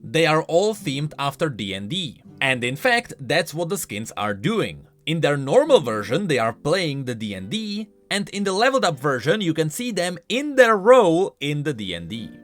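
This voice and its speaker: Animated man presenting gaming news over music. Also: fairly high pitch, normal speed, hardly any pauses. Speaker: host of a gaming news show. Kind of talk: scripted news narration. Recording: music playing behind the voice. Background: background music.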